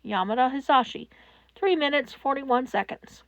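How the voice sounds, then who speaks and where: A woman reading fan fiction aloud as audiobook narration, in a slow, measured way. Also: sits high, fairly bright tone, no echo, lo-fi sound. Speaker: podfic reader.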